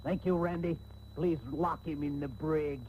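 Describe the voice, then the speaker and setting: An actor playing a smarmy, genteel sea captain with affected politeness and villainous intent, in a comic radio serial on old tape. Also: male voice, fairly high pitch, flat delivery, measured pace, lightly acted. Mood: calmly menacing. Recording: thin, dull old radio tape, faint hiss.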